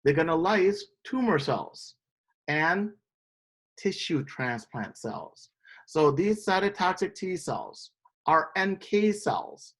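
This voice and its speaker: This is an instructor from Indonesia teaching a live class. The delivery is explanatory and steady, pausing often to check in with the students before moving on. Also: medium pitch. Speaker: biology instructor. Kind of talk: classroom lecture.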